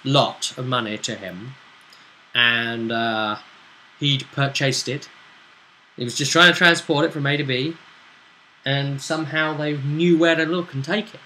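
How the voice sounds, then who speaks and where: A man's voice: medium pitch, fairly even intonation, a little slow, pauses often, sharp tone, slight background noise, low-quality recording. A man chatting through a recap of a tabletop role-playing session as game master, in a bantering, conversational storytelling way. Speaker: game master and show host.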